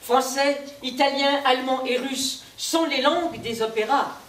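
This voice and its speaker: A woman's voice: high voice, measured pace, a bit bright. Woman reciting on an echoey stage. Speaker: stage performer. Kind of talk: theatrical recitation of testimonies. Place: theatre stage. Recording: echoey stage, distant mic, faint noise.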